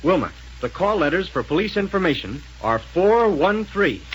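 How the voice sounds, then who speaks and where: An actor playing a dutiful young space hero in a 1930s radio serial, crisp and military in manner. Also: male voice, high voice, sing-song, normal speed, lo-fi sound. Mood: brisk, businesslike. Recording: crackly vintage 1930s radio recording.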